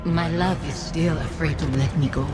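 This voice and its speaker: Bitter female nightmare phantom, acted by a woman, mournful and accusing, loud music underneath. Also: low-pitched, steady tone, moderate pace, lightly acted. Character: bitter nightmare phantom of a commander's dead wife. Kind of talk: scripted game dialogue.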